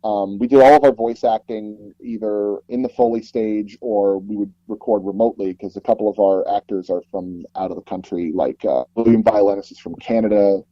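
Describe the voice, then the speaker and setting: A man's voice: fairly low pitch, a little fast, few pauses, no echo, lo-fi sound. A man giving a casual interview, telling stories in a rambling way with many restarts, recorded in a small padded closet booth. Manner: rambling, animated storytelling with frequent "like" and repeated false starts. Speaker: interview guest, sound designer and audio drama producer.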